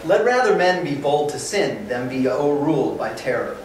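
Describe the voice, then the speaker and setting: A man's voice: on the high side, sing-song, moderate pace. A man voicing a bold, visionary chapel priest, declaiming archaic verse in a staged dramatic reading. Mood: earnest, bold. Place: hotel conference room, indoors. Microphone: camera across the room. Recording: very echoey room, distant mic, faint noise.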